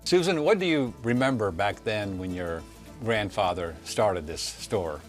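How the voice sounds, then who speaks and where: Man hosting with gentle questions. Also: on the low side, lively intonation, a little slow, soft background music. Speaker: TV host and interviewer. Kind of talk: interview questions and documentary narration.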